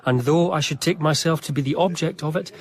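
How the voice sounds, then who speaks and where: An actor playing an earnest, deferential, easily wounded gentleman who narrates and converses formally in a period radio drama. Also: a little high, brisk, natural voice. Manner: polite, articulate, formal.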